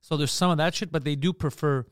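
Brief: comedian guest, Montreal Greek accent, rapid animated chat, dry close sound. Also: male voice, a little high, clean tone, dry recording. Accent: Montreal Greek (Park Extension). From Montreal, Canada. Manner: animated, swears freely, quick restarts. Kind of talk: casual podcast chat.

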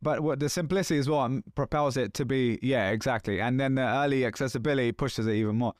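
A man in his thirties with a London accent, hosting a casual podcast chat in a chatty, animated way with loose slang. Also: mid-range voice, fast, no echo, studio quality. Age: 30s. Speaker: podcast host.

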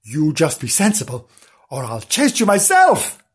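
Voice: medium pitch, huge pitch swings, a little slow, breathy edge, exaggerated voice. A performer voicing a bossy, sensible dog from a children's storybook, scolding and matter-of-fact in exasperation. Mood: stern.

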